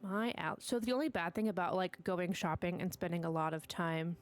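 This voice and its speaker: A woman's voice: fairly low pitch, fairly quick, soft background music. A young woman streaming a game, stuffy and sniffly from allergies, chatting to herself and her dog as she builds. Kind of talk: casual gameplay commentary.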